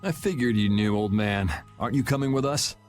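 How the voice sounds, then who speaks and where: A man's voice: fairly low pitch, a little fast, lightly acted. Cool, wry young adventurer played by a man. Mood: cool, decisive. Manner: offhand, dry, decisive. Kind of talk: video game voice acting. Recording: music under the voice.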